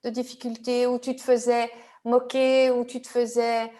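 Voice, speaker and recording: A woman with a notably high voice leads a tapping therapy session. She asks calm, patient questions one after another, drawing out her client's fears. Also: moderate pace. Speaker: EFT practitioner.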